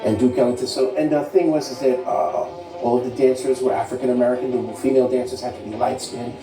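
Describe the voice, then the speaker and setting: A man's voice: medium pitch, flat delivery, fast. A man giving an informal music history talk, explaining and rephrasing as he goes. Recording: echoey room, distant mic, music underneath.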